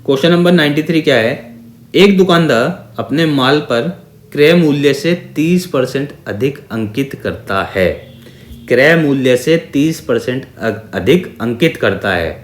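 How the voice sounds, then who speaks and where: A man's voice: mid-range voice, lively intonation, unhurried. Echoey Indian man teaching maths step by step. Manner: didactic, with frequent check-in questions and repeated phrases; mixes Hindi with English terms. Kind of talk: exam-prep lesson, working through problems step by step. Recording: echoey, reverberant room sound.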